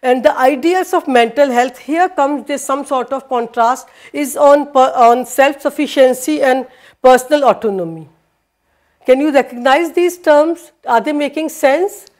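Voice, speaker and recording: A woman's voice: high voice, unhurried, a little mellow. A middle-aged woman whose first language is Hindi gives a university lecture in a room with a projector, recorded from a far camera. She hesitates often, with many fillers and restarts.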